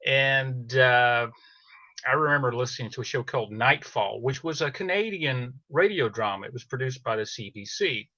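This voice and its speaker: A man's voice: medium pitch, expressive, normal speed, pushed and bright, no echo, highs cut off. A middle-aged man talking casually as a podcast guest in an interview. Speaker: podcast guest, audio drama producer.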